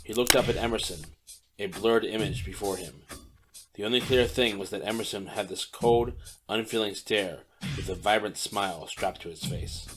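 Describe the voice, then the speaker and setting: A man reading a dark audiobook story as narrator, in a steady descriptive manner. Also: on the low side, moderate pace, poor audio. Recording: narration over loud music bed.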